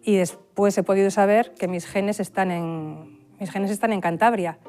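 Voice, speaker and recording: A woman's voice: mid-range voice, steady tone, normal speed, a little mellow, faint music behind. A woman from Spain speaking wistfully and fondly in a documentary interview. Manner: wistful, fond.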